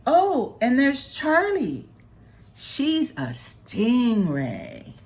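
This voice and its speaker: Woman playing a friendly, slow-speaking lilting mermaid. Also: on the high side, huge pitch swings, a little mellow, pitched above the performer's own voice, exaggerated voice. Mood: cheerful. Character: friendly mermaid, proud of her sea friends.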